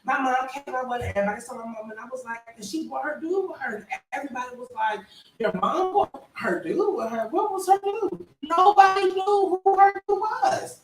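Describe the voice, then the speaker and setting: A woman in her thirties telling stories in a casual group chat, animated, slangy and laughing often. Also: high-pitched, a little fast. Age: 30s. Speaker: podcast co-host. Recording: echoey room, mic at a distance, faint noise.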